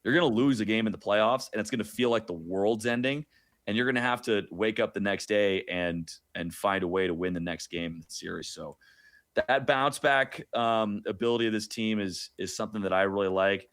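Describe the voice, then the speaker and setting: Male sports analyst, lower register, informal guest-interview delivery with wry asides. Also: brisk, a bit bright. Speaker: radio play-by-play announcer as guest analyst.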